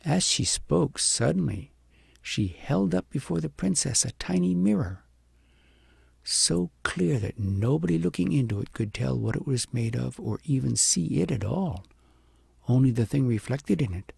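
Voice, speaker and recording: A man narrating an audiobook fairy tale expressively, with deliberate, dramatic emphasis. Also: medium pitch, sing-song, creaky, darkish tone, slightly rough, no echo.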